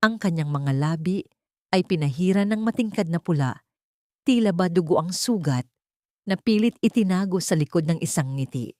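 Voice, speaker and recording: A woman's voice: on the low side, expressive, moderate pace, a little mellow, no echo, clean studio sound. A woman reading a scripted dramatic love story as its narrator.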